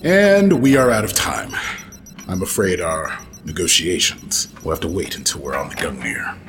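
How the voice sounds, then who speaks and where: A man's voice: deep voice, animated, moderate pace, noisy background. A man playing a cocky, unhinged rogue outlaw in a sci-fi audio drama, sardonic, menacing and theatrical. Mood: smug, menacing. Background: music.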